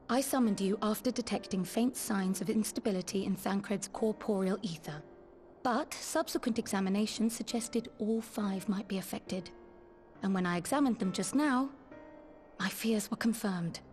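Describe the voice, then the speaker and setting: A woman's voice: medium pitch, a little mellow, natural voice. Formal, grave scholarly fantasy woman played by an actress. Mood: grave concern. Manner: formal, measured, archaic diction. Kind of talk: video game cutscene acting. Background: music.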